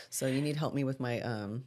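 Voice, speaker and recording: A woman's voice: very deep voice, darkish tone, a little hiss or hum. A forty-year-old woman co-hosting a casual podcast, chatty and upbeat, laughing and filling her talk with "like". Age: 40s. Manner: chatty, upbeat, laughs and uses many fillers. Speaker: podcast co-host.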